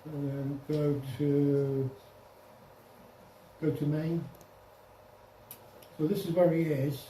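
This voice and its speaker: A man giving an informal software demonstration to a group, explaining step by step with some hesitation and self-correction. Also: a little high, flat delivery, extremely slow. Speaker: presenter at an amateur radio club. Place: indoor club meeting room. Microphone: distant room microphone. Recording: echoey room, distant mic, faint noise.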